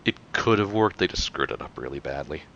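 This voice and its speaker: A man's voice: extremely low pitch, expressive, brisk, vocal fry, a bit bright. A man co-hosting a casual commentary in a matter-of-fact explaining manner, on a slightly dull microphone. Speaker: podcast co-host. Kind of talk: casual chat, retrospective commentary.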